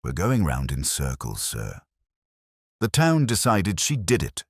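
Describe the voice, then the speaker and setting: A man's voice: low-pitched, huge pitch swings, unhurried, husky, dry recording, clean studio sound, heavily acted. A man voicing a restive police sergeant who sounds impatient and incredulous.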